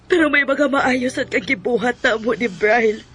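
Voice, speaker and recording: A woman with a Bisaya accent playing a hurt but forgiving wife and mother in a radio drama, soft and emotional. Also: fairly high pitch, moderate pace, lightly acted. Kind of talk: Philippine radio drama acting.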